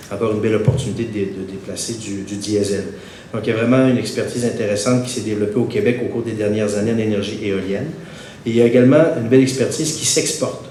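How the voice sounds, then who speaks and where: A man with a Québécois French accent, slightly congested from a cold, giving a formal press statement with frequent hesitations. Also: darkish tone. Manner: measured, many "euh" hesitations. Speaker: wind energy centre spokesman at a press conference. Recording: echoey room with faint rumble.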